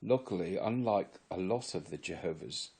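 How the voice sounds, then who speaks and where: Man, 60s, from Virginia, fake English accent, dark mellow tone, rambling earnest monologue. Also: deep voice, lively intonation, moderate pace, slightly rough. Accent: put-on English. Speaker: former member giving testimony. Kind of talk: solo confessional monologue to camera.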